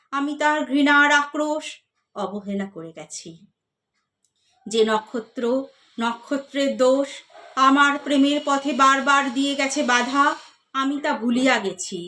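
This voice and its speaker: A woman with a high voice recites poetry at a slow pace. Her delivery is expressive and measured, and her phrases drop softly as each line closes. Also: a little hiss or hum.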